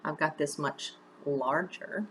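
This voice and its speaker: A woman with a notably low voice leads a video lesson as a drama teacher. She talks conversationally and encouragingly, guiding the learner with direct questions. There is faint ambient noise in the home recording. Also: slow, fairly bright tone. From United States. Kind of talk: instructional video mini-lesson.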